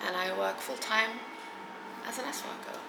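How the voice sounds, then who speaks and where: Australian woman, 30s, shy vlogger, creaky tone, roomy noisy home recording. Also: on the high side, normal speed. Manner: hesitant, many ums, soft giggles, drops to near whisper at times. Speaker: content creator. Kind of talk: casual get-to-know-me vlog. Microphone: camera mic.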